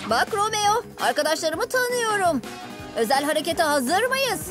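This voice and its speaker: A woman playing an earnest, excitable young boy superhero in a cat costume in a dubbed children's cartoon, speaking in dramatic heroic declarations. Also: very high-pitched, normal speed, over music. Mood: determined. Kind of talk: animated children's cartoon dub.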